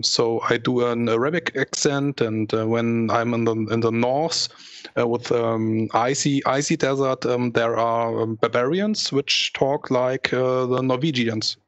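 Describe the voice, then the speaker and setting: A man with a German accent, a guest in a casual chat, halting and searching for words. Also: mid-range voice, no room sound. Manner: halting, many ums and uhs, searching for words. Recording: dull lo-fi dry mic.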